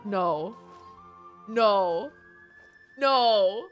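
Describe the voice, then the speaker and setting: A woman's voice: mid-range voice, expressive, drawn-out words, soft background music. A woman game streamer giving casual, reactive live commentary, informal and repeating phrases for emphasis.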